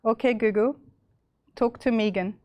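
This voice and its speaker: A woman's voice: a little high, moderate pace, no room sound, muffled recording. Austrian-accented woman giving a tech demo. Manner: chatty, many fillers and restarts. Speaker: presenter. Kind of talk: live tech demo walkthrough.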